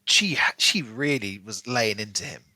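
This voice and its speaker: A man's voice: fairly low pitch, expressive, measured pace, bright, forceful tone, dry recording. A man co-hosting a podcast, discussing a book casually in long, rambling runs. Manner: rambling, talks in long runs with many "you know"s. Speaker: podcast co-host.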